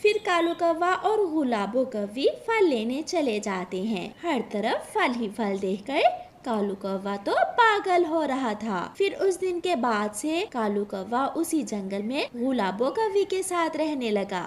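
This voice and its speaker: A woman's voice: sits high, very animated, a little slow. A woman narrator reading a scripted cartoon story for children in Hindi.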